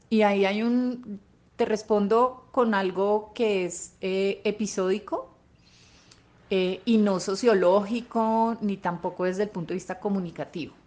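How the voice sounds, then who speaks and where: A woman's voice: mid-range voice, steady tone, unhurried, a little mellow. Colombian woman explaining in an interview, didactic. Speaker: expert interviewee.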